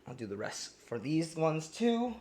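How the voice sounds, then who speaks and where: A man's voice: a little high, big pitch swings, measured pace, a little mellow, a little hiss or hum. A man from New Jersey hosting a live cooking stream, talking casually and rambling with lots of ums and restarts. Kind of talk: casual live cooking demo, reading chat.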